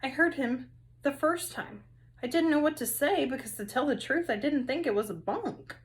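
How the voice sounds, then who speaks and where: Expressive woman reading aloud, higher-pitched voice. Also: normal speed. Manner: expressive storytelling. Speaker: third grade teacher. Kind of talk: children's novel read-aloud.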